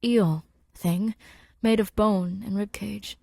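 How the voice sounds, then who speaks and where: A woman's voice: mid-range voice, fairly even intonation, slow, gravelly, warm tone, dry recording, studio quality. A woman narrating a scripted story as a podfic reader, in a conversational, wry way.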